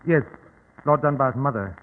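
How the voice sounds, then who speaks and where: An actor playing a polite, uneasy young gentleman in 1940s radio drama style, hesitant, formal and deferential. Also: medium pitch, normal speed, lightly acted. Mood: hesitant, evasive. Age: young adult. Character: nervous, polite, genteel young male manor relative. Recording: tinny, noisy 1940s radio recording.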